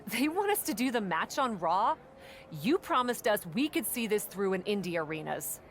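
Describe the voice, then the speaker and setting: A woman's voice: medium pitch, big pitch swings, moderate pace, slight background noise. A woman playing a confident, determined indie pro wrestler with a wry, self-assured manner in video game cutscene dialogue. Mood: hesitant surprise.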